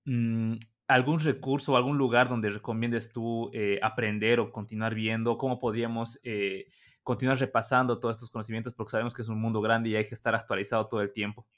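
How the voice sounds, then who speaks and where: A man's voice: mid-range voice, steady tone, brisk. A man hosting a podcast interview, asking questions with frequent hesitations and repeated words. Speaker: podcast host. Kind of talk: casual interview.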